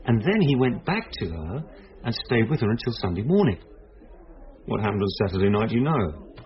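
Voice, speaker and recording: A calm male police detective in a radio drama. His speech is measured and explanatory, yet the melody moves about freely. The recording is a little dull and muffled. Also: lowish voice. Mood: explaining, serious. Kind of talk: naturalistic radio drama acting.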